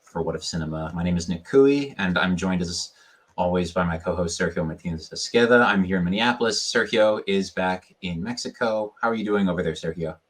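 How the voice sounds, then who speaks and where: A man's voice: low voice, a little fast. A man hosting a live stream interview over a video call, polished and effusive with formal phrasing. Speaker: host.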